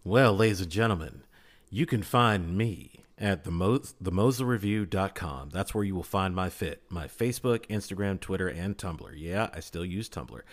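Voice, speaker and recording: A man's voice: deep voice, expressive, normal speed. A man chatting casually as a podcast guest, with an emphatic, repetitive way of phrasing things. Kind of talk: casual chat.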